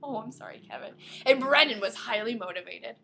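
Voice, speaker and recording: A woman with a fairly high voice hosts a podcast, reading fiction aloud in an expressive audiobook style. She is playful and slips easily into casual joking asides. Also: a little fast, gravelly, a little hiss or hum.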